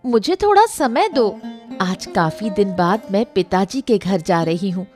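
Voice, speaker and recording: A woman voicing a practical village wife and homemaker in an animated moral story. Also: mid-range voice, unhurried, faint music behind, close to an everyday voice. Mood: impatient.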